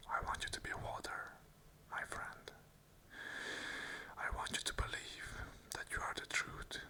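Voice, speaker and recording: Bright, sharp whisper, fully unvoiced. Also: measured pace, poor audio. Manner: whispers the whole way, soft and unvoiced; earnest, repeating affirmations in short phrases. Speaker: ASMR channel host. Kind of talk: unscripted whispered ASMR monologue.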